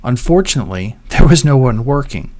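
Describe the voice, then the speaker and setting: A man narrating a vlog in a measured, scripted voice-over, telling things in an orderly way. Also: medium pitch, sing-song, mellow.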